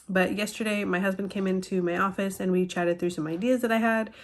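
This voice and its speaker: A young woman casually vlogging from her home craft room, talking in a relaxed, chatty way with lots of ums, her nose a bit stuffy. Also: lowish voice. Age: young adult. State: stuffy nose, slightly nasal. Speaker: small business owner vlogger. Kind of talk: casual vlog chat. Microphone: phone camera at arm's length.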